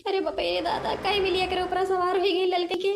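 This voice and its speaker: A woman acts out an excitable, easily scared village housewife from a cartoon comedy. The pitch is extremely high. She frets in exclamations and keeps repeating herself. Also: a little fast, background noise. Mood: panicky. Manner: exclamatory, fretful, repetitive. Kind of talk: animated comedy cartoon voice acting in dialect.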